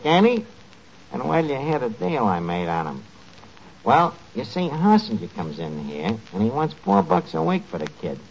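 A man's voice: a little high, creaky. An actor playing a gullible, blustering tavern manager in 1940s radio comedy, talking slangy, ungrammatical New York wise-guy English. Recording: muffled, noisy 1940s radio recording.

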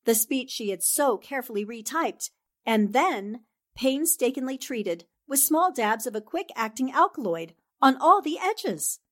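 Casting: actress for sharp, self-assured newspaperwoman, higher register, vintage radio-play delivery. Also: expressive, measured pace. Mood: confident, explanatory. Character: sharp, confident newspaperwoman explaining a murder plot. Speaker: voice actor in an amateur radio drama. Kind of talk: 1940s radio drama acting.

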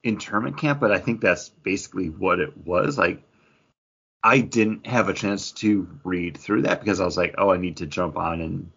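A man co-hosting a podcast in casual chat, halting and full of fillers, often acting out imagined lines as he talks. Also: low-pitched, gravelly, fairly bright tone, husky, lo-fi sound. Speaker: podcast co-host reviewing comic books.

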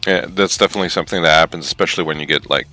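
A young man from Canada chats as a guest, his voice very low yet bright and forceful. He reflects in a rambling way, leaning on fillers. Quiet music sits in the background. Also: very fast. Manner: thoughtful, rambling, many fillers. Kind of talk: casual chat.

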